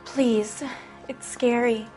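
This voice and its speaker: High-voiced, frightened teenage runaway girl. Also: fairly even intonation, very slow, dark tone, soft background music. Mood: hesitant, fearful. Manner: hesitant, plaintive, evasive. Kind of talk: video game acting.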